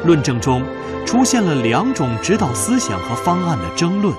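A man's voice: on the high side, sing-song, moderate pace. A man reading scripted dramatic narration for a historical radio drama. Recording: music behind the narration. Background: background music.

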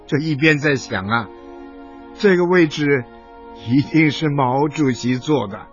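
An elderly boat-worker grandfather in a radio drama, reminisces with pride and fondness. He takes his time and speaks with open feeling. The sound is slightly muffled, with music underneath. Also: fairly high pitch. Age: older. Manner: emotive, reminiscing storyteller.

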